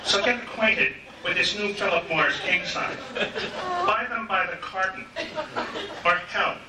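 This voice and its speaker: A man's voice: high voice, sing-song, measured pace. A man impersonating a hip, chuckling lounge showman in a 1960s television sponsor spot on stage. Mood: smooth, salesmanlike. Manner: hip slangy crooner, chuckling. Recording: roomy stage, distant mic, loud hiss.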